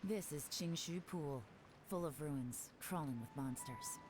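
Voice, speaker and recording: A composed, commanding woman spy gives orders in a low, cool voice. Faint game music sits behind her. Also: measured pace. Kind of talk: video game voice acting.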